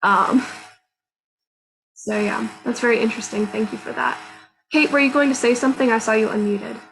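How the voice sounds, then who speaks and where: A woman's voice: fairly high pitch, moderate pace, rough recording. A woman moderating an online panel discussion over a video call, speaking conversationally to the group.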